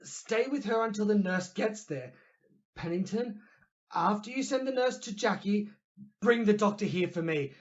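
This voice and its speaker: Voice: mid-range voice, expressive, moderate pace, lo-fi sound, natural voice. A performer in an audio play acting an exasperated, curt young man with a terse, commanding manner. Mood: pained, urgent.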